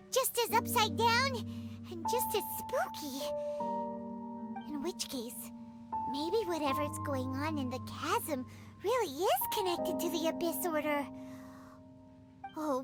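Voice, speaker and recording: A woman voicing a tiny, excitable, easily spooked fairy companion in a video game, with a squeaky childlike voice and expressive, stammering delivery. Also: exaggerated voice. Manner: speaks of herself in third person, expressive, hesitant stammers. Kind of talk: animated video game voice acting. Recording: loud music behind voice. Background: game music.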